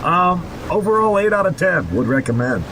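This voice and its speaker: A man's voice: sing-song, normal speed. A man co-hosting a podcast with casual joking banter and dry, absurd humour. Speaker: podcast co-host. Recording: noisy recording with low rumble.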